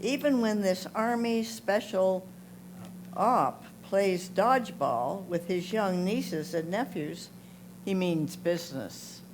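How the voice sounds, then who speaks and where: A woman reads photo captions and notes at an unhurried, slow pace. Her voice sits at a middle pitch, with a clear noisy hum behind it. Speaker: reader of photographers' comments. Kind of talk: reading prepared notes aloud. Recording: clear hum and background noise.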